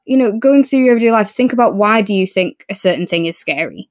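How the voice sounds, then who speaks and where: A woman co-hosts a casual book discussion. Her voice sits at an average pitch, and she talks earnestly, giving her opinions plainly. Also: lively intonation, quick pace. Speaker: podcast co-host.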